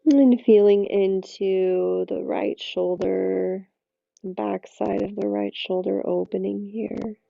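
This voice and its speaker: A woman with a low, mellow, deeply gravelly voice guides a yin yoga class at an unhurried crawl. She sounds hoarse and a little froggy, her cues soft and drawn out. The recording is dull and lo-fi. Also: fairly even intonation, no echo. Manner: slow, soothing, drawn-out cues. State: hoarse from an allergy attack.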